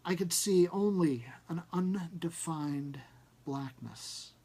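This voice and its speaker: A man narrating a first-person short story, reading in an expressive, measured way. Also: on the high side, husky. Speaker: audiobook narrator.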